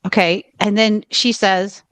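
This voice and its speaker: A woman's voice: mid-range voice, level tone, fairly bright tone, clean tone, no echo. A woman with a Southern US drawl, co-hosting a podcast and telling a long travel story in rambling casual chat, often stopping on "okay.". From United States. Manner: rambling, keeps pausing on "okay". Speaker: podcast co-host.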